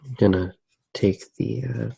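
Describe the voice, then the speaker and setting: A man gives a soft ASMR narration in a low, husky voice. He talks very slowly and hesitantly, feeling his way through unscripted thoughts in a hushed tone. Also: poor audio. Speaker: host of an ASMR drawing channel. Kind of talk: soft-spoken ASMR narration while drawing.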